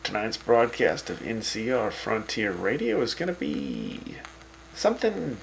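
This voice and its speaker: A man's voice: fairly low pitch, very animated, measured pace, creaky, a little hiss or hum, full character voice, rough recording. A man playing a wry, teasing wasteland radio host who chats with his guests. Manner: sarcastic, teasing, chatty.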